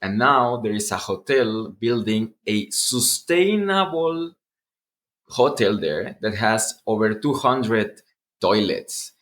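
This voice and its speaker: A man's voice: fairly low pitch, sing-song, takes it slowly, a bit bright. A man speaking conversationally as a guest in an interview.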